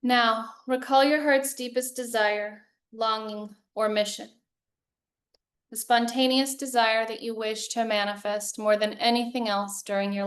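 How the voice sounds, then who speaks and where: A woman guides a relaxation meditation in a fairly high voice. Her delivery is very slow and level, with little rise or fall. She gives calm, soothing instructions and leaves long pauses between phrases. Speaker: yoga nidra meditation teacher.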